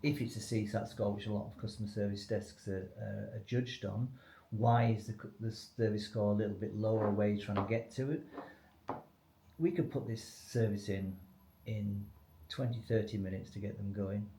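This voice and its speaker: A man's voice: fairly low pitch, steady tone, normal speed, warm tone. A man speaking unscripted as a company spokesman in a business webinar, explaining things in an informal, loosely structured way. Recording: echoey room with faint noise.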